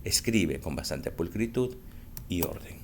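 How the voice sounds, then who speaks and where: A male school teacher giving a recorded math lesson for children, explaining slowly and patiently and answering his own questions. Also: sits low, expressive, a little hiss or hum.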